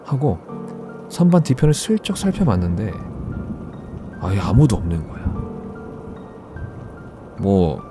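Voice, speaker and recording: A man narrating a first-person horror story in a casual, conversational storytelling way, with deliberate phrasing. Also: on the low side, sing-song. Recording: background music under the voice. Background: music.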